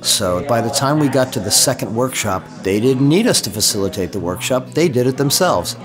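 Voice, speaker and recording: A man speaking in a measured, explanatory way as a consultant in a documentary interview. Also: medium pitch, expressive, music in the background. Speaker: design consultant and facilitator.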